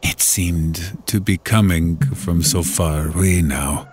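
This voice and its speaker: A man's voice: low voice, takes it slowly, a little mellow, husky. A man narrating a first-person horror story in a dramatic, scripted storytelling style. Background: music.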